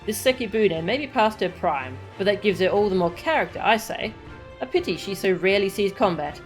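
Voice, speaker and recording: A woman's voice: medium pitch, expressive, fairly quick, soft background music, close to an everyday voice. A woman reading the lines of a male pirate sailor who reminisces about an old ship. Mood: reminiscing.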